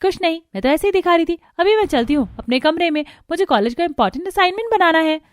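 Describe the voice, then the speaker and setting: A woman voicing a young college girl in a cartoon, a sly, eager schemer with an animated manner. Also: very high-pitched, fast talker, no echo, voice pushed up. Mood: evasive.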